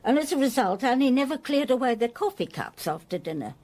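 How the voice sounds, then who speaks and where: Female servant role, period radio drama: loyal, chatty, deferential, husky voice with animated intonation. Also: high voice, brisk. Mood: respectful, earnest. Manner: deferential, gossipy, earnest. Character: loyal, talkative, respectful housemaid devoted to her mistress.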